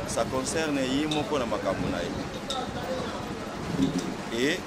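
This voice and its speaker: A man's voice: medium pitch, lively intonation, slow. A Congolese man speaking emphatically and insistently as he gives his opinion in a street interview. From Democratic Republic of the Congo. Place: outdoors by a doorway on a street. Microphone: small wired lapel mic held near mouth. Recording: loud outdoor street and traffic noise.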